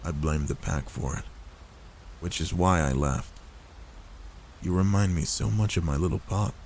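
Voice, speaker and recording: Low-pitched older werewolf played by a man, gruff but tender, protective, fatherly, soft ASMR roleplay, noticeable background noise. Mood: wistful, sorrowful. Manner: soothing, paternal, unhurried reassurance. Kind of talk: ASMR roleplay, soft intimate character acting.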